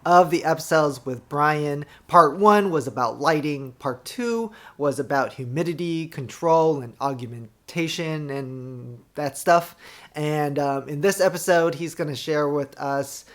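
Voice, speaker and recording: Relaxed male host, slow pace. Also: fairly high pitch. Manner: relaxed, chatty, trails off. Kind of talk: casual show intro.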